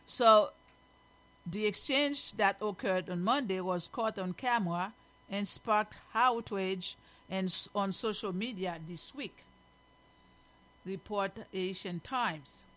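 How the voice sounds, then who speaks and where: A woman's voice: mid-range voice, slow, fairly bright tone. A woman from Haiti with a Haitian accent, reading news aloud as a host and commenting on it in an emphatic, opinionated way.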